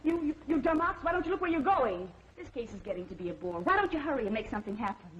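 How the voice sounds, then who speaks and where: An actress playing a feisty, impatient young would-be reporter, snappy and sassy, in an old crime film. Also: female voice, sits high, expressive, quick pace, slightly rough. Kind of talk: 1930s film acting. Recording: muffled old film soundtrack with music.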